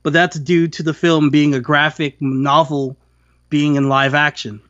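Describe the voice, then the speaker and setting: A man's voice: on the high side, flat delivery, a little slow, fairly bright tone, dry recording, dull audio. A man co-hosting a podcast, giving a casual, opinionated film review and slipping into acted-out quotes. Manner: acts out imagined quotes while talking. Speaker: podcast co-host reviewing films.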